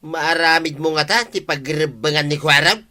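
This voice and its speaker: A man's voice: fairly high pitch, steady tone, moderate pace, pushed and bright. A man playing an indignant, jealous older village sidekick in an Ilocano radio comedy drama.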